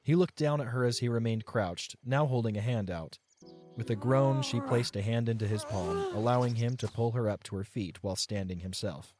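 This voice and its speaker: A man's voice: medium pitch, normal speed, over music. A man narrating scripted fiction as the storyteller of an audio play.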